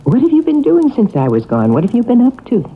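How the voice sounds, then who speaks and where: An actress playing a cheery, affectionate wife in a radio drama, playful and tender. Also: female voice, a little high, wildly swooping pitch, fast. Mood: tender, curious. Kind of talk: 1970s radio drama acting. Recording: muffled vintage radio broadcast.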